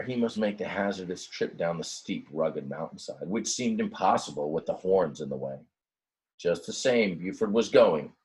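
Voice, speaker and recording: Low-voiced man reading a children's book. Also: darkish tone, poor audio. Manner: explains words simply in plain asides. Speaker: read-aloud storyteller, teacher. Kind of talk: expressive children's book reading with casual explanations.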